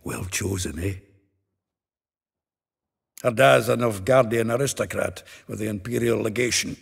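Earthy, gravel-throated male gossip. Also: fairly low pitch, unhurried, exaggerated voice. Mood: wry, confiding. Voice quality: gravelly, very husky. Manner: chatty, chuckling storyteller. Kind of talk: video game cutscene acting.

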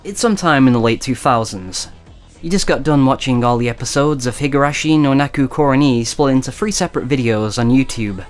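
A man's voice: medium pitch, brisk, faint music behind. Wry, conversational male narrator. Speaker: documentary narrator. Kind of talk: scripted video-essay narration.

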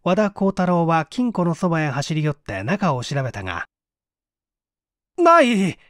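Animated male story narrator. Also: a little high, normal speed, no echo, studio quality. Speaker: audiobook reader, stage performer. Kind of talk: dramatic scripted story narration.

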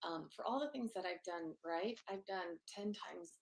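A soft-spoken woman in her thirties, a guest telling a personal story over a video call from her home office. Her voice carries a gravelly fry, and she speaks openly and earnestly, pausing on fillers as she goes. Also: fairly low pitch, poor audio. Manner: open and earnest, many fillers.

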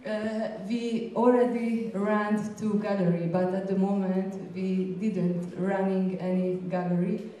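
A middle-aged woman from Hungary with a Hungarian accent gives an informal conference presentation in halting, hesitant non-native speech with frequent pauses. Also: medium pitch, flat delivery, mellow. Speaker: gallery curator and presenter. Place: indoor presentation room, seated at a panel table. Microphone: handheld microphone through room sound. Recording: very echoey room, distant, faint noise.

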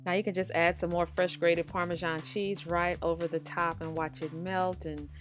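A woman's voice: fairly low pitch, little pitch movement, moderate pace. A woman hosting a cooking tutorial, narrating casually in a chatty, informal, encouraging way. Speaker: cooking show host. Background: background music.

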